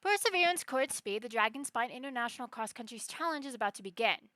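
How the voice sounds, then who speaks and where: A woman's voice: fairly high pitch, lively intonation, fast talker, pushed and bright, no room sound. A woman game streamer giving casual live commentary, often talking to herself, with game music behind her.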